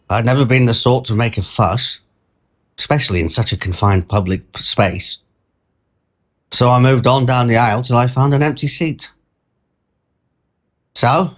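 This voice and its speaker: Man acting as bemused male storyteller, mid-pitched voice, wordy, pedantic, digressive, mild-mannered, conversational audio short story. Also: natural voice. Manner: digressive, pedantic, wryly formal wording. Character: bemused, wordy, mild-mannered male train passenger telling his anecdote. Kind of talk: scripted first-person short story narration, conversational.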